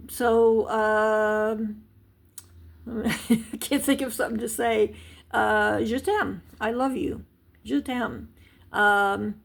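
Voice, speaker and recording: A woman with a slightly high, gravelly voice teaching a relaxed language lesson to a camera. She talks in a chatty, wandering way, with frequent ums and restarts. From United States. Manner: chatty, rambling, many fillers and restarts. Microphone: handheld device camera.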